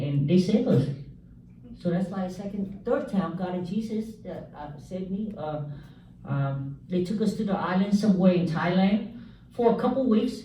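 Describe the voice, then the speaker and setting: A man from South Vietnam, giving a halting church testimony full of fillers and joking asides. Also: a little high, mellow, slight background noise. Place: church hall. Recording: echoey hall, mic from far off.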